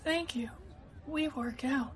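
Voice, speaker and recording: A woman's voice: high-pitched, takes it slowly. A woman playing a smug celebrity twin brother from a soap opera in a comedic radio sketch, on a noisy recording.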